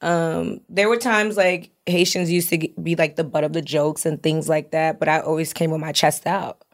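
A woman, a podcast guest telling stories in an animated, lively way, acting out the voices she quotes. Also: deep voice, normal speed. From Long Island, New York. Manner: animated storyteller who acts out quoted voices. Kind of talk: casual chat.

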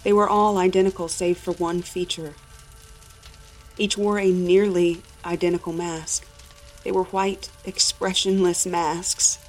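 A woman's voice: fairly low pitch, little pitch movement, unhurried, soft background music. A woman reading a first-person horror story as a dramatic narrator.

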